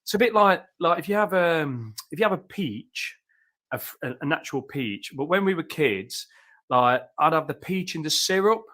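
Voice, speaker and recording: A man's voice: a little high, animated, normal speed, pushed and bright. An English man in his fifties hosting a casual, chatty conversation over drinks on a video call. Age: 50s. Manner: chatty, informal. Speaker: host of a chat show. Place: small bar room, indoors.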